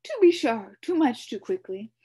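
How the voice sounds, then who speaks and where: A woman's voice: on the high side, very animated, moderate pace, dark tone, husky, voice pushed up. A woman voicing a pompous, wordy male humbug bug who talks in grand, theatrical phrases.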